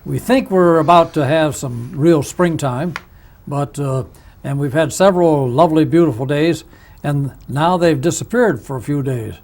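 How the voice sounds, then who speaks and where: High-voiced male TV host. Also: moderate pace, a little mellow. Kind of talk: hosting a public access TV interview show.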